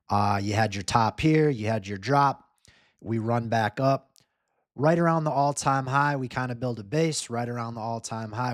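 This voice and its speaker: A man's voice: lowish voice, dry recording. Man, casual chart commentary, dry sound. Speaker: host, crypto market analyst. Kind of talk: casual chart commentary to camera or screen share.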